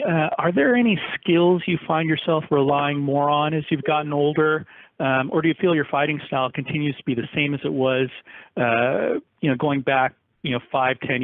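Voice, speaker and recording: A man's voice: fairly high pitch, normal speed, a little hiss or hum. A man working as a sports reporter, putting questions to athletes on a press conference call. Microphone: phone. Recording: dry, narrow phone-line audio.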